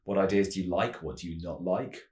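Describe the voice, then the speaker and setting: A man hosting a tabletop roleplaying channel, explaining ideas in a measured, storytelling way. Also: deep voice, steady tone, a little mellow. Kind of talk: semi-scripted explanatory narration. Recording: roomy, echoing voice recording.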